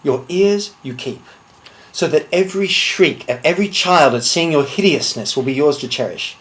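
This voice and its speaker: Menacing male duelist, acted by a man: deliberate, cold, theatrical contempt, dramatic monologue. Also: on the high side, lively intonation, a bit bright, poor audio. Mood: cold menace. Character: cold, menacing swordsman threatening a slow revenge.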